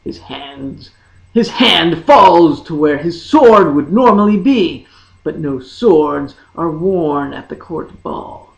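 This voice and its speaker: Slow, theatrical woman narrator in an echoey room. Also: deep voice, expressive, creaky. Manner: theatrical, deliberate phrasing with dramatic pauses. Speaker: audiobook narrator. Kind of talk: dramatic scripted fantasy narration.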